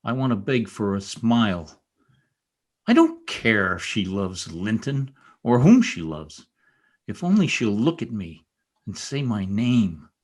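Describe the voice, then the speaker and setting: A man's voice: lowish voice, sing-song, unhurried. A man playing a bitter, lovesick young stable hand, passionate and brooding, in old-time radio drama style. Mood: anguished, pleading. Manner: intense, scornful and pleading. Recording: amateur radio play recorded over video call.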